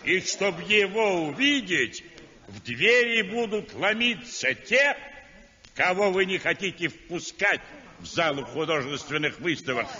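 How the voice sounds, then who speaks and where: A fervent idealist declaims in an old radio play. His highish voice moves in wide, lively sweeps, slow and emphatic, in a muffled hall recording. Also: full character voice. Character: passionate, idealistic male champion of realist art. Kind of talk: 1960s Soviet radio drama acting.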